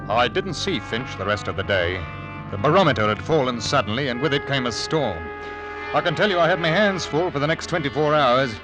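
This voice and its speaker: An actor playing a beleaguered male ship captain, narrating gravely in a 1940s radio drama. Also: medium pitch, expressive, fairly quick, close to an everyday voice, poor audio. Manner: grave, tense, flaring into shouts. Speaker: narrator and lead. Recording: old radio broadcast with music underneath.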